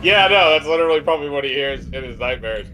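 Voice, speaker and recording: A man's voice: a little high, expressive, fast, sharp tone, soft background music. A man co-hosting a game playthrough in casual chat, with sarcastic, sweary remarks.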